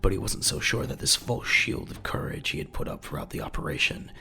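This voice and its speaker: A man narrating a scripted audio drama in a measured, dramatic, gravelly voice. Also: low voice, expressive, sharp tone.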